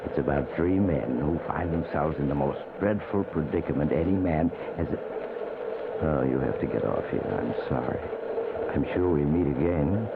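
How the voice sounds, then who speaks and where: An actor playing a mysterious traveler who confides eerie tales as the host of a 1940s radio show. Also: male voice, a bass voice. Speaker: host and narrator. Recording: very noisy, tinny vintage radio recording.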